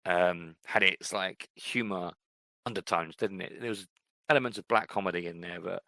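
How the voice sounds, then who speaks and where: Middle-aged man, podcast co-host, low gravelly voice, relaxed rambling chat, dry close sound. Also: moderate pace, no echo. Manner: relaxed, rambling, frequent fillers. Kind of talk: casual chat.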